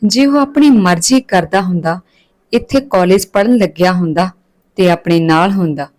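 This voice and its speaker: Woman reading as gentle, firm village mother. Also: fairly low pitch, moderate pace, natural voice. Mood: firm, soothing.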